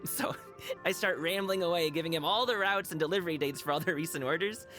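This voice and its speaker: A man voicing a friendly, energetic young wushu dancer and delivery guard in a video game, casual, slangy and easygoing. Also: fairly high pitch, brisk, faint music behind. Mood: chatty, relaxed. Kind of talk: video game character voice lines.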